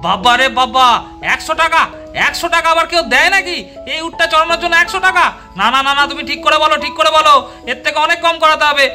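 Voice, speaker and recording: Man playing a high, bright-voiced village father. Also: fairly even intonation, quick pace, soft background music, exaggerated voice. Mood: indignant, haggling. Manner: emphatic, repeats phrases. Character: lively, bossy but kindly village father. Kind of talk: amateur doll-story voice acting.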